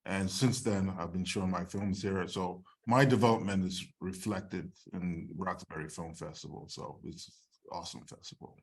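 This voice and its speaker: Low-voiced man answering questions as a filmmaker. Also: fairly even intonation, moderate pace, husky. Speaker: screenwriter and filmmaker. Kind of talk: informal Q&A answers. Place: online video call.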